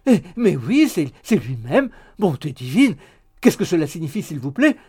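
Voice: mid-range voice, sing-song, normal speed, darkish tone, pitched above the performer's own voice. A reader voicing a coy, prudish spinster maid in a story, theatrically faint and scandalized. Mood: dramatic alarm.

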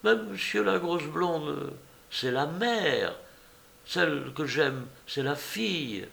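Guy doing a timid lovesick young fella, kinda high voice, stammery and super polite, audiobook character. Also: animated, slow, gravelly, fairly bright tone, full character voice. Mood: earnest, timid. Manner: hesitant, stammering, deferential. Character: naive, shy, lovesick young man, easily flustered.

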